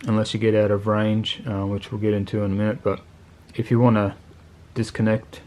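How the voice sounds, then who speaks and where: A man with a lowish voice talks through a piece of gear off the cuff. His delivery is very monotone and laid-back, with plain, colloquial phrasing. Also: brisk. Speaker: motorcycle video channel host reviewing gear. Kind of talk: casual unscripted product review.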